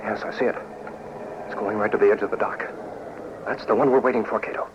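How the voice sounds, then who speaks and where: Under clear hiss on a muffled vintage radio recording, a male actor as a decisive crime-fighter speaks in a low voice. He is steady and authoritative. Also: fast, natural voice. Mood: watchful. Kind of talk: 1940s radio acting.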